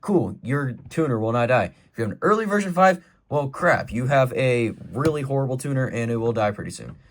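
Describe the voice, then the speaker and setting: A man's voice: mid-range voice, slight background noise. A man giving a casual, rambling show-and-tell as a collector, in an informal and opinionated way. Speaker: collector presenting a weather radio collection.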